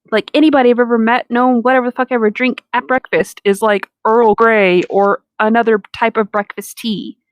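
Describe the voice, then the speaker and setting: Higher-pitched, exasperated, sarcastic woman. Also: no echo, rough recording. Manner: sarcastic, exasperated, swears freely. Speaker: podcast co-host reading fan fiction aloud. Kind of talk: casual comedic commentary and read-aloud.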